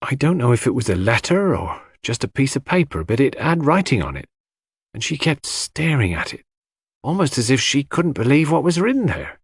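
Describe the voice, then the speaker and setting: A man's voice: mid-range voice, big pitch swings, moderate pace, husky, no echo, clean studio sound, voice pushed up. A man voicing an old, loyal English housemaid who is deferential, old-fashioned and easily indignant, in an audiobook mystery. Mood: deferential, confiding.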